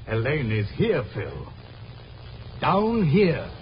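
A man acting an anguished, guilt-haunted stage mentalist in a 1950s radio drama, speaking haltingly with melodramatic repetition. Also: mid-range voice, huge pitch swings, exaggerated voice. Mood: resolved, eerie. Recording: muffled, noisy old radio recording.

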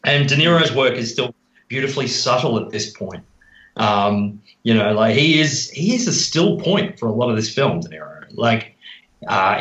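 Halting man in a roomy, dull recording. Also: lowish voice, fairly bright tone. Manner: halting, thinks aloud. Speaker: podcast guest. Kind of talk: casual film discussion.

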